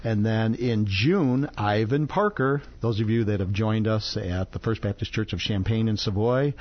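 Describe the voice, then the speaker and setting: A man's voice: fairly low pitch, moderate pace, dull audio. A man hosting a radio show, giving chatty, upbeat event announcements. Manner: chatty and upbeat, laughs often. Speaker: radio host. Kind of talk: casual on-air announcements.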